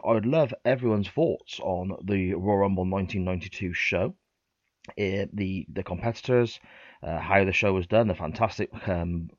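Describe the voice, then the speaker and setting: Man, podcast host, bunged up with a cold, low voice, dry sound. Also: no room sound, muffled recording. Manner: chatty, polite, frequent ums. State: congested with a cold. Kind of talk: casual interview chat.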